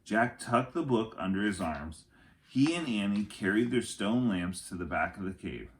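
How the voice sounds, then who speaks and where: A man reading a children's chapter book aloud as an expressive storyteller, with deliberate, dramatic phrasing. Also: lowish voice.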